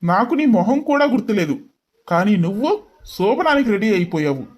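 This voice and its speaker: A woman's voice: medium pitch, very animated, normal speed, a little mellow, husky, voice pushed down. A woman voicing a contemptuous, accusing young husband in a dramatized story. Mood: contemptuous, disgusted.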